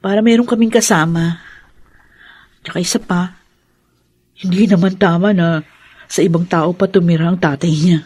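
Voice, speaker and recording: A woman's voice: lowish voice, steady tone, normal speed, long pauses, a little mellow, slightly rough. A woman with a Filipino accent playing a caring young wife who carries old grief, in a radio drama. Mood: sympathetic. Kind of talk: Philippine radio drama acting.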